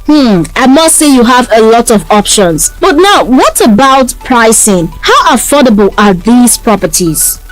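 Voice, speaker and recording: A woman's voice: fairly high pitch, big pitch swings, a little slow, hardly any pauses, faint music behind, poor audio. A woman as an upbeat, eagerly impressed interviewer in a scripted radio advert. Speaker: advert presenter.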